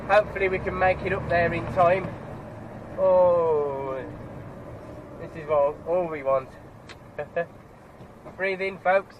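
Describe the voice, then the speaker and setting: A man's voice: sits high, dull audio. A truck driver with a stuffed-up nose from a cold, chatting casually to the camera from his cab and grumbling at other road users. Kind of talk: casual driving vlog commentary. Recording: road and engine noise in cab.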